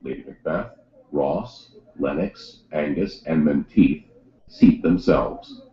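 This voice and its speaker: A man's voice: rumbling low, slow. A man reading scripted stage directions as the narrator of a play. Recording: roomy echo, slightly dull sound.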